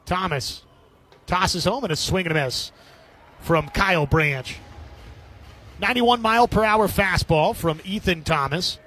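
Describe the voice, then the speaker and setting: A man calling baseball play-by-play as live radio sports commentary, with a husky edge to his voice. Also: high voice, unhurried, pauses often, a bit bright.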